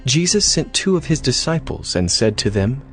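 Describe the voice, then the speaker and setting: A man narrates scripture from a script. His mid-pitched voice rises and falls expressively as he tells the story. Quiet music plays behind him. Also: big pitch swings, normal speed.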